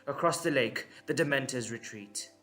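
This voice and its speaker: A man narrating a dramatic story in short, measured, suspenseful phrases. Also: mid-range voice, a bit bright, faint music behind.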